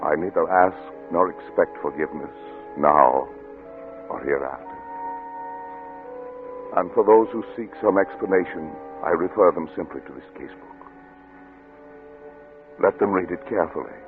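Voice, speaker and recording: A grave, doomed man of science narrates his final confession in a very deep voice. His delivery is solemn and measured, in old radio suspense style. The vintage recording is narrow, with music clearly behind him. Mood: grave, resigned. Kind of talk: old-time radio suspense drama acting.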